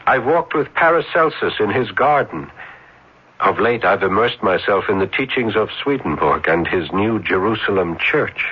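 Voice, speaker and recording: A tormented young male scholar in a vintage radio play. The voice is deep and rough-edged, florid and halting, with restless swings of pitch. The sound is slightly muffled, like an old broadcast. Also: animated. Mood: earnest. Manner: theatrical, florid, halting intensity. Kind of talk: 1970s radio drama acting.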